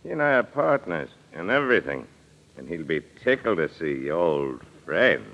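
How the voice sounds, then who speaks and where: An actor playing a cold-blooded, commanding gangster boss in clipped slang in an old-time radio crime drama. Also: male voice, sits low, big pitch swings, moderate pace. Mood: brisk, in control. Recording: dull, lo-fi old radio recording.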